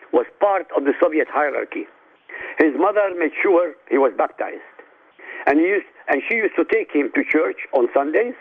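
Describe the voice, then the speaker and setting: Gravelly man on a phone line. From Iraq. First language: Arabic. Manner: emphatic, declamatory, stresses words with rising pitch. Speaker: radio guest commentator. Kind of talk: prepared political commentary.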